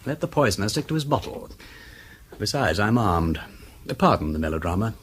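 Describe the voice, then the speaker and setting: An actor playing a flippant, witty English aristocrat detective in a radio drama, urbane and drawling, teasing with mock politeness. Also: male voice, on the low side, sing-song, normal speed, slight background noise. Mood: mocking, coolly accusing. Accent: upper-class English.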